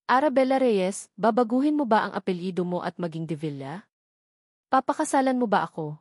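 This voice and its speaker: A woman voicing a devoted grown man proposing tenderly, read in an even, measured way for an audio drama. Her voice sits at a middling pitch. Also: no echo, studio quality, lightly acted. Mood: hopeful.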